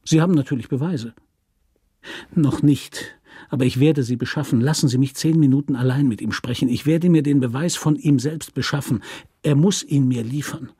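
A man as an insistent, husky gentleman lawyer. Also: medium pitch, normal speed, no echo, clean studio sound, close to an everyday voice. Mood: accusing, insistent. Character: determined, principled lawyer uncle, accusing and insistent.

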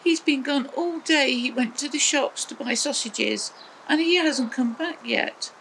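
A woman's voice: high voice, measured pace, creaky, fairly bright tone, slight background noise, voice pushed up, exaggerated voice, poor audio. An English woman voicing a worried, caring female dog in a children's story, urgent and pleading.

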